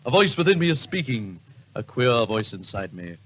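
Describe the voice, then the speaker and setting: A man's voice: medium pitch, big pitch swings, normal speed, a little hiss or hum, lightly acted. A man playing a pompous, theatrical famous detective in an old-time radio comedy, declaiming with melodrama. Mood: melodramatic.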